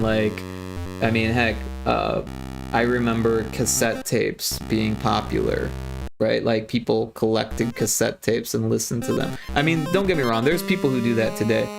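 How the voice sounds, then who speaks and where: A man's voice: mid-range voice, level tone, unhurried, creaky, over music, low-quality recording. A man in his forties, a game developer chatting casually on a livestream, rambling with lots of fillers and restarts. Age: 40s.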